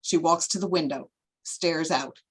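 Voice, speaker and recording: A woman's voice: sits low, unhurried, breathy edge, low-quality recording. A woman reading stage directions as the narrator of a screenplay.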